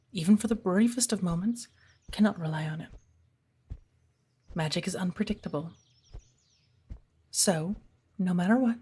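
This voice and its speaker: A woman as a soft, husky witch mentor. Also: on the low side. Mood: measured, instructive. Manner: measured, formal, instructive, commanding. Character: stern, patient witch mentor of the woods. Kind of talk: ASMR roleplay addressed to the listener as apprentice. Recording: birdsong behind the voice. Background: birdsong, woodland ambience.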